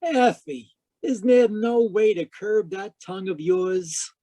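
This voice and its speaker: An actor playing a wry, hard-boiled private detective in a 1940s radio style, with clipped, theatrical delivery. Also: male voice, high-pitched, slow pace, dark tone, no echo. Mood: flirtatious.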